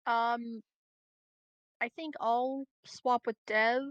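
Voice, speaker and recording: A woman's voice: fairly high pitch, fairly even intonation, extremely slow, smooth, no room sound, highs cut off. A woman chatting casually as a player in a tabletop roleplaying game.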